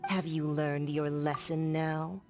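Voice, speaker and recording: A woman's voice: sits low, little pitch movement, slow, loud music behind the voice. A woman voicing a cold, mocking villain diplomat in a video game, speaking with theatrical, sardonic condescension. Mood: disdainful.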